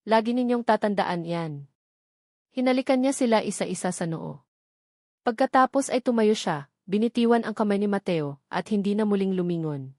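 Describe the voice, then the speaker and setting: A woman's voice: mid-range voice, expressive, fairly quick, mellow, no room sound, studio quality. A woman with a Filipino accent reading a scripted, dramatic romance story as its narrator.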